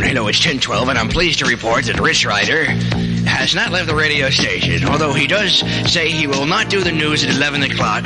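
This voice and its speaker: An American man hosting as a 1970s radio DJ, bantering in a cocky, theatrical, teasing way. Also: mid-range voice. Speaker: radio DJ and show host. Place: radio station studio. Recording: noisy 1970s radio aircheck tape.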